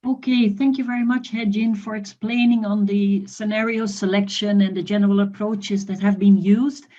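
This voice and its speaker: A woman's voice: medium pitch, fairly even intonation, normal speed, warm tone. A woman moderating a webinar in a polite, orderly hosting style. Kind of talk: polite hosting, handing over to speakers. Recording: slightly dull, lo-fi online audio.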